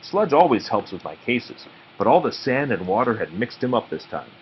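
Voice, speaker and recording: A man narrating a children's story aloud expressively and deliberately, with dramatic pauses. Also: medium pitch, big pitch swings. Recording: muffled audio with faint background noise.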